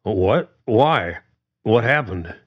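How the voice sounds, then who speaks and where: A man's voice: fairly low pitch, expressive, very slow, breathy edge, pitched above the performer's own voice, heavily acted. Guy doing a plain-talking protective dad, middle-aged, dull lo-fi recording. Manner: plainspoken, fatherly.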